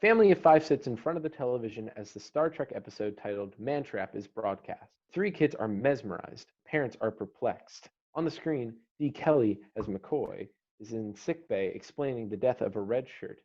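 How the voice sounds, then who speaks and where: A man's voice: mid-range voice, animated, normal speed, darkish tone. Terse male script narrator, slightly dull audio. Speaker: stage-direction narrator.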